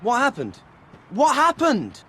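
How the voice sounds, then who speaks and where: A man's voice: very high-pitched, huge pitch swings, slow, sharp tone, breathy edge, heavily acted. A man playing an earnest, boyish teenage wizard schoolboy in a video game. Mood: excited, urgent. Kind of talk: dramatic video game acting.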